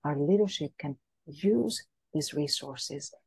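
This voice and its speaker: A woman from France talks on a podcast in an earnest, motivational way. Her voice is very breathy and husky, with a mellow, warm tone and a fairly low pitch. The recording is dry and close. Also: animated, takes it slowly, no room sound. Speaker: guest, business coach. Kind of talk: podcast interview talk. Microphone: podcast mic.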